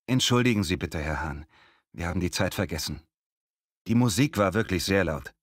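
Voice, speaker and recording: A man playing an apologetic twenty-year-old neighbour in a clearly articulated language-lesson dialogue, speaking politely. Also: low-pitched, lively intonation, normal speed, no room sound, clean studio sound.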